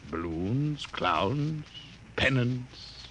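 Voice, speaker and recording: An actor in a 1950s radio drama playing a mysterious, courteous client who speaks formally and precisely on a vintage recording. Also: male voice, mid-range voice, lively intonation, a bit bright, slight background noise. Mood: courteous, commanding. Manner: formal, deliberate, precise diction. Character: mysterious, courteous, secretive male client with grand plans.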